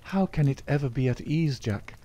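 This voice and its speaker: A man's voice: medium pitch, lively intonation, moderate pace, mellow, voice pushed up, close to an everyday voice. A man reading aloud, voicing a young woman who anxiously pleads with her outlaw lover. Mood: pleading. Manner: imploring, breathless, emotional.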